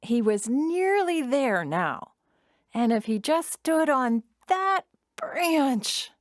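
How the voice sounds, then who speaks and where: A woman narrating a scripted children's story in an expressive, measured storytelling manner. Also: high voice, no room sound, clean studio sound.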